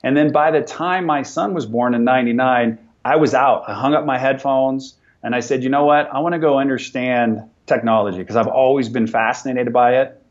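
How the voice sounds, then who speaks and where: A man in his forties, a business owner talking as an interview guest in an energetic, motivational way, often repeating words as he starts sentences. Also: medium pitch, a little fast, few pauses, highs cut off. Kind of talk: conversational interview.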